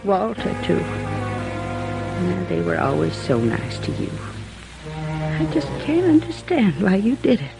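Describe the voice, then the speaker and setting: An actress playing a dying elderly aunt in a radio drama, halting and gently scolding. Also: female voice, on the low side, sing-song, creaky, exaggerated voice. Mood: weak, sorrowful. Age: older. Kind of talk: 1950s radio drama acting. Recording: old radio recording with music behind.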